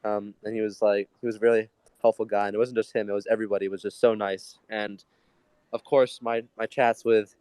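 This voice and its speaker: Young guy, earnest guest on an audio chat, smooth lowish voice, dry sound. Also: little pitch movement, fairly quick, darkish tone, dry recording. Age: teens to young adult. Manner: earnest, grateful. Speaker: guest speaker, young developer. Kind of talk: earnest informal talk in a group audio chat.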